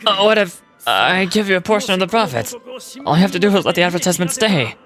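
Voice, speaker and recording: An actor playing a scheming, pompous merchant villain in a video game, theatrical and self-serving, talking with indignant persuasion. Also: male voice, sits high, animated, quick pace, a bit bright, faint music behind. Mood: pleading, eager.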